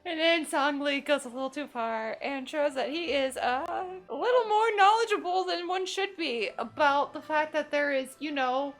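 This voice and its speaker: High-voiced woman joking through game commentary. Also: lively intonation, normal speed, hardly any pauses, a bit bright. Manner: joking, teasing. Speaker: gaming video host. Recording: faint game music behind.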